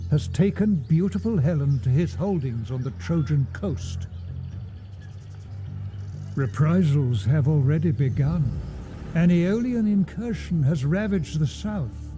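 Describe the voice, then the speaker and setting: Solemn game narrator, super dark mellow voice, slow and weighty. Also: male voice, fairly high pitch. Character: solemn male narrator of an ancient war saga. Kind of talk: scripted epic narration.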